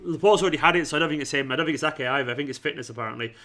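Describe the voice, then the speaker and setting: Male football stream host, bright and fairly high voice, informal and matey, slightly worn from talking. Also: very fast. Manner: informal matey address, often saying "man", "mate" and "pal". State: losing his voice. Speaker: fan channel livestream host. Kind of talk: football watchalong stream, casual chat with viewers.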